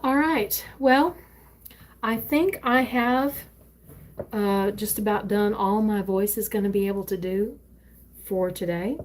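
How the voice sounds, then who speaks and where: A woman's voice: medium pitch, slow. An older woman, reading her own stories and chatting casually on a live stream, rambling with frequent fillers and restarts, recorded on a tablet. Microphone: tablet used for live streaming.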